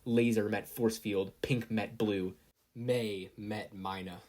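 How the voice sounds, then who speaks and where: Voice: sits low, fairly even intonation, slow pace, darkish tone. A narrator reading a scripted fan fiction story aloud. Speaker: narrator, podfic reader.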